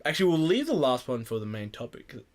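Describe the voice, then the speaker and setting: A man's voice: mid-range voice, very animated, quick pace, a crowd in the background. A young man hosting a casual podcast chat about film news, with a rambling, jokey, sweary manner. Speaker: podcast host.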